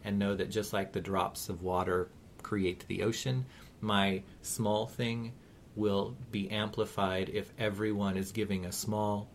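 A man co-hosting a talk radio show, speaking in an earnest, measured and encouraging, reflective way. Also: lowish voice, little pitch movement, a little hiss or hum.

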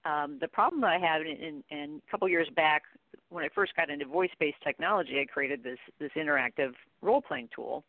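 A woman's voice: deep voice, a little fast, dry recording. A middle-aged woman speaking as a guest expert, answering conversationally with informal, rambling anecdotes and acted-out bits of dialogue. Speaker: guest expert, training company CEO. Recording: tinny, dry phone-line audio.

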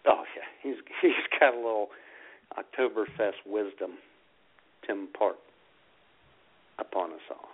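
A man's voice: fairly low pitch, measured pace, pauses often, husky, narrow, tinny audio. A man co-hosting a comedy radio show, bantering casually, heard through thin, band-limited audio.

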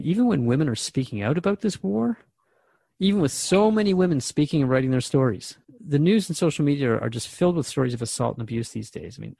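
A man's voice: mid-range voice, vocal fry, darkish tone, dry recording. A man acting as a radio host in a drama, asking questions in an earnest, interviewing manner.

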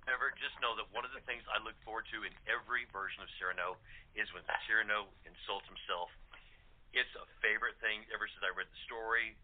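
Male guest, rapid casual speech, lowish voice, narrow telephone audio. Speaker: interview guest. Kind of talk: casual panel chat.

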